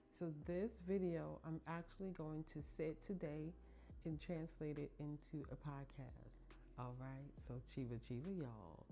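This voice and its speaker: A woman's voice: low voice, dark tone. A middle-aged woman hosting a podcast, talking to her listeners in a casual, heartfelt monologue with a chatty, emphatic manner. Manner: chatty and emphatic, addresses listeners as ladies and y'all. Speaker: podcast host.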